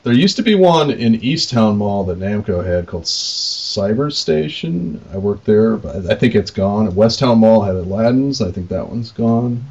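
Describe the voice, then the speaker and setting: A man's voice: on the low side, animated, unhurried, few pauses, a little mellow, husky, highs cut off. An American man co-hosting a podcast in casual chat, rambling along in a jokey, self-deprecating way. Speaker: podcast co-host.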